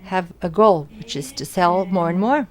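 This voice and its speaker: A woman with a fairly low voice renders speakers live for an academic audience. She delivers their words in short bursts with pauses and quick self-corrections, keeping close behind each speaker. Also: darkish tone. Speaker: simultaneous interpreter. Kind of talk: live interpretation of audience questions at an academic symposium.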